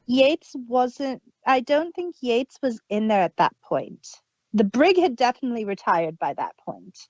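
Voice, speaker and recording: A woman's voice: on the high side, big pitch swings, unhurried, no room sound, lo-fi sound. A woman co-hosting a fan podcast review, chatting casually and sharing details. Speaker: podcast co-host.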